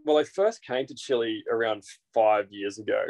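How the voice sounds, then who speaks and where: A man in his thirties with an Australian accent, a guest talking casually over a video call from a small room, relaxed and full of fillers. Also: on the low side, moderate pace. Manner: relaxed, rambling with frequent fillers and restarts. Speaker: guest, naturalist guide. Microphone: video call, built-in device mic.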